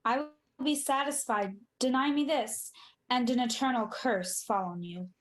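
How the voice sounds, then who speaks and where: A woman's voice: on the high side, moderate pace, close to an everyday voice. A woman playing a proud, demanding tyrant king, declaiming Shakespeare in a radio play. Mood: defiant, agitated.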